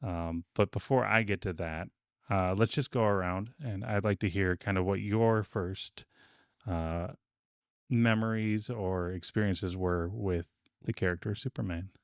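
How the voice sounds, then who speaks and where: A man's voice: sits low, measured pace, clear, resonant tone, no room sound. A man hosting a casual podcast discussion, explaining things in a hesitant way with asides. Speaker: podcast host. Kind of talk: casual discussion with research notes.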